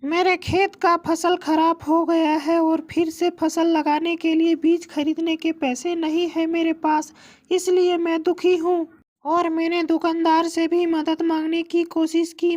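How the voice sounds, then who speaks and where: A woman's voice: extremely high pitch, flat delivery, a little slow, darkish tone. A woman voicing a poor, polite young farmer in a Hindi story cartoon, speaking respectfully and earnestly. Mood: sad, pleading.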